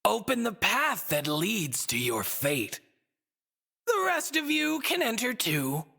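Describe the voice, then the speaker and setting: A woman's voice: mid-range voice, big pitch swings, slow pace, gravelly, bright, forceful tone, husky, full character voice. A woman playing a smug, theatrical stuffed-bunny god in a video game, speaking in mocking, riddling, ornate phrases. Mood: cryptic, grand.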